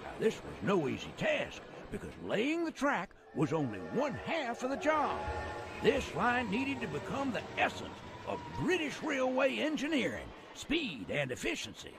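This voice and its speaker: An actor playing a jovial, folksy old-timer narrator from the American West in a game cutscene, with a colloquial, theatrical drawl and a gravelly voice. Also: male voice, extremely high pitch, wildly swooping pitch, moderate pace, music in the background, full character voice. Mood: folksy, animated. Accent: American Southern or Western drawl.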